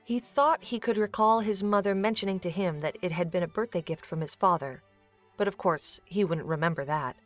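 A woman's voice: lowish voice, brisk, faint music behind. A woman giving scripted third-person narration of a story as the narrator.

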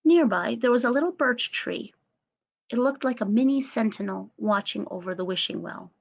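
A woman's voice: fairly high pitch, expressive, normal speed, gravelly, husky. A woman in her thirties narrating a scripted storybook tale as storyteller, recorded on a webcam in a room at home. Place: small home room indoors. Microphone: webcam or laptop at arm's length. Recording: slightly dull webcam audio.

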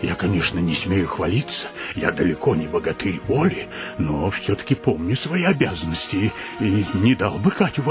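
Radio play: elderly father, plaintive, sighing, spineless, very lively intonation, over music. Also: a little high. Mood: defensive self-pity. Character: weak-willed, self-pitying elderly provincial father. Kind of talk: radio drama acting.

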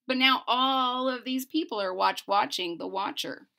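A woman's voice: a little high, a little slow, gravelly, bright, forceful tone. A woman in her forties reading a children's picture book aloud with emphatic, sing-song delivery and casual asides. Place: small furnished room at home.

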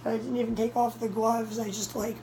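A young woman with a hoarse voice, telling a story in a casual vlog, urgent and breathless, filmed close up on a phone in a small room indoors. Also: fairly high pitch, level tone, brisk, mellow, slight background noise. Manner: breathless, urgent retelling of a scare. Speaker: cat vlogger. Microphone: phone camera held close, low angle.

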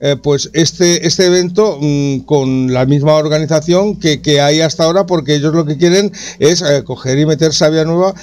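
A man's voice: a little high, moderate pace, few pauses, darkish tone. A man from Spain with a Spanish accent, hosting a sports talk radio show with many hesitations and restarts. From Catalonia, Spain.

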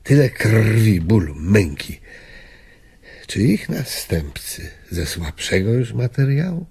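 An actor playing a weary, nostalgic old gentleman in a radio drama, speaking wistfully in halting, declamatory phrases. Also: fairly low pitch, big pitch swings, breathy edge, exaggerated voice. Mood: wistful, fervent. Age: older.

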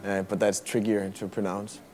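Man, Danish accent, 30s, warm mellow voice, soft-spoken, hesitant. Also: lowish voice, level tone, normal speed, faint music behind. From Denmark. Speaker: violinist. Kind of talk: interview answers. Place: museum gallery.

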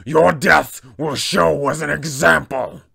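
Man performing big brutish male villain, menacing, commanding, emphatic, tabletop fantasy game, pitched up. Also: fairly even intonation, slow, gravelly, bright, forceful tone, breathy edge, heavily acted. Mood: menacing rage. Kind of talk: acted tabletop villain dialogue.

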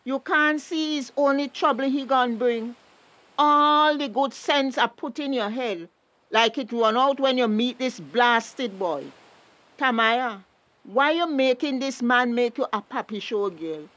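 A woman's voice: high voice, unhurried, fairly bright tone. A middle-aged woman with a Tobagonian accent acting a protective, reproachful mother in a radio drama. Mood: scolding. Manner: reproachful, lecturing. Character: scolding, protective mother of a grown daughter.

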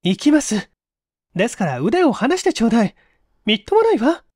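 A woman's voice: a little high, very animated, fairly quick, a little mellow, no echo, clean studio sound, pitched above the performer's own voice. A woman voicing an anxious young female suspect in a radio-style story, pleading with polite, hesitant, old-fashioned feminine phrasing. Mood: resigned.

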